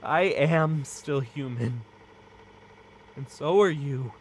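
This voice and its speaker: A man's voice: fairly high pitch, takes it slowly, a little hiss or hum, poor audio. A man playing a grave, earnest figure who gives an emphatic, solemn warning in a horror audio drama. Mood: solemn, urgent.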